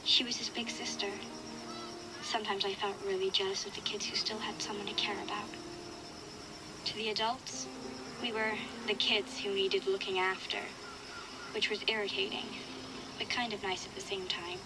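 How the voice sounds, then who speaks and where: An actress playing a wistful young girl survivor, narrating a reflective, measured audio diary for a video game. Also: medium pitch, fairly even intonation, heavy background noise, lightly acted. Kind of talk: scripted first-person audio diary narration.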